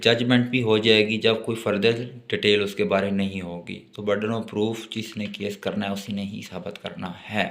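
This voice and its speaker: A man with a Pakistani accent giving a law lecture, alternating deliberate reading of legal text with explanations in his own words. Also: fairly low pitch, fairly bright tone. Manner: switches between slow, deliberate reading in English and quicker explanation.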